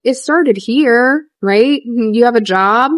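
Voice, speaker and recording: A young adult woman coaching viewers on a livestream, earnest and repetitive, answering questions with lively rises. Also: a little high, slow, dry recording, studio quality. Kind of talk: casual livestream teaching, reading viewer questions.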